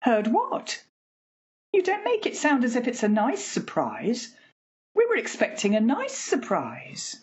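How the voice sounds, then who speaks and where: Woman acting a husky, very animated homely housewife. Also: fairly high pitch, measured pace, lo-fi sound, exaggerated voice. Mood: uneasy. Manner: homely, chattering, expressive. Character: fussy, chatty working-class wife and mother, easily alarmed. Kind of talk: radio play acting.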